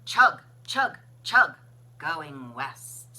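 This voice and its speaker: A woman reading a children's picture book aloud as a librarian, with expressive, sing-song, deliberate phrasing. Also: low-pitched. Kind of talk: children's storybook read-aloud.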